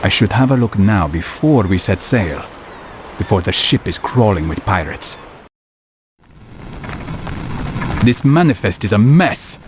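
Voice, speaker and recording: An actor playing a grave, philosophical assassin in a video game cutscene, speaking in measured, formal phrases. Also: male voice, on the low side, sing-song, background noise. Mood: terse, probing.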